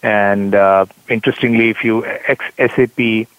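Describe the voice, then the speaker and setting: A man's voice: on the low side, steady tone, a little slow, dry recording. A man, an executive guest on a radio show, speaking earnestly with many hesitations. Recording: dull dry lo-fi line.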